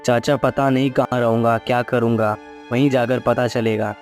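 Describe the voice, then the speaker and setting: A man's voice: mid-range voice, fairly even intonation, normal speed, faint music behind, close to an everyday voice. A man voicing a sorrowful, luckless poor young village son in a story cartoon, plaintive and earnest. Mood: downcast, resolved. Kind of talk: acted dialogue in a moral story cartoon.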